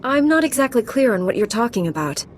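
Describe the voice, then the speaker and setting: An actress playing a principled, stern Jedi knight who speaks in a firm, earnest, direct way. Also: female voice, on the high side, big pitch swings, quick pace, slight background noise.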